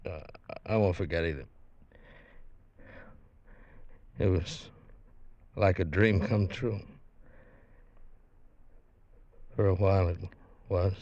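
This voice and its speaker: Embittered, lovesick Marine, played by a man: low, dry, rough and slangy, 1940s radio acting. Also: moderate pace, mellow, dry recording, highs cut off, close to an everyday voice. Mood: sorrowful. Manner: rough slangy soldier talk, hesitant. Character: tall embittered Marine gone half-crazy, threatening yet lovesick. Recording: old-time radio broadcast recording.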